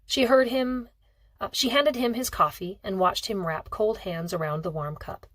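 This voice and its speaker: A woman's voice: mid-range voice, moderate pace, a bit bright, no room sound. A woman reading a novel aloud as scripted audiobook narration. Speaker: audiobook reader.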